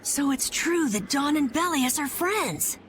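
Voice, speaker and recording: High-voiced, eager young boy. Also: lively intonation, unhurried, a bit bright, a little hiss or hum. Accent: American. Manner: earnest, eager. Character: eager, naive, excitable young boy adventurer. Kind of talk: video game voice acting.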